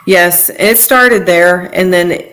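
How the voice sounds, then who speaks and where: A woman's voice: lowish voice, slow, a bit bright. A woman giving personal testimony as a podcast guest, in informal, conversational storytelling.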